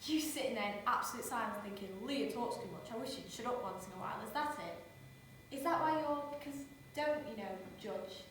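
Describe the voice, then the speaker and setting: A woman's voice: a little high, a little fast, fairly bright tone, a little hiss or hum, lightly acted. Insecure chatterbox, defensive, self-interrupting; woman performing; distant, heavy echo. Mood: nervous. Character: anxious overtalking woman Leah, insecure and defensive. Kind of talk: stage monologue. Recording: echoey room from far off.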